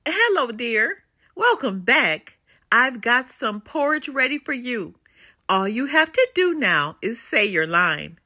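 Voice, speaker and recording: Woman as a patient, unhurried mama bear. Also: fairly high pitch, very animated, pushed and bright, heavily acted. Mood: reassuring. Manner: soothing, encouraging. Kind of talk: children's storybook read-aloud.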